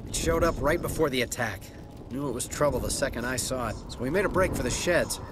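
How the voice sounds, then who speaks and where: An actor playing a plain-spoken, defensive farmer who does small-time smuggling, in a video game scene. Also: male voice, mid-range voice, fast, noisy background, close to an everyday voice. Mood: shaken, grateful. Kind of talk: video game cutscene acting. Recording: game audio with music underneath.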